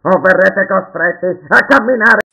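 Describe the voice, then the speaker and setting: A man voicing a swaggering animatronic pirate fox for a children's show, raspy, boisterous and theatrical. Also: sits high, a little slow, pushed and bright, dull audio, exaggerated voice. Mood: swaggering, excited. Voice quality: raspy, gravelly. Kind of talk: children's show mascot performance, fan dub.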